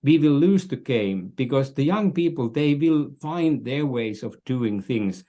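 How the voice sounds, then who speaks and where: A man in his sixties with a Finnish accent, speaking as a podcast guest in measured, halting, thoughtful phrases. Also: mid-range voice, lively intonation, dark tone. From Finland. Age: 60s. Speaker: podcast guest, business coach. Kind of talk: thoughtful interview talk.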